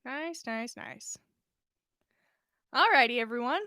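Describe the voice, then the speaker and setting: A woman's voice: fairly high pitch, slow pace, sharp tone, no room sound, clean studio sound. A woman hosting a podcast livestream, reading stories aloud and chatting casually. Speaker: podcast host and story reader. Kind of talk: fan fiction reading and casual livestream chat.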